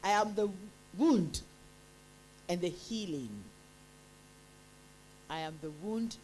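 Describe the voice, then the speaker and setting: A woman acting as quiz master, reading each contest question out deliberately and then repeating it. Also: mid-range voice, darkish tone, slight background noise, rough recording. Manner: deliberate, reads each line twice.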